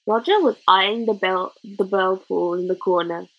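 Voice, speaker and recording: A woman reading a children's novel aloud in a gentle, expressive storytelling manner. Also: mid-range voice, a little slow, poor audio. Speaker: audiobook reader. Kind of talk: storybook narration.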